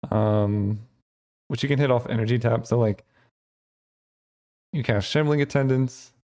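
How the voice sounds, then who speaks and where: A man hosting his channel, thinking aloud in casual gameplay commentary with lots of fillers, his voice getting hoarse. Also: mid-range voice, unhurried, a little mellow. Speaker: channel host.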